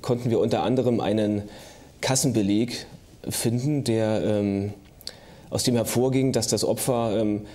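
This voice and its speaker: A middle-aged man giving a formal investigator's account in an interview, with halting official phrasing. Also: on the low side, mellow. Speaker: police investigator.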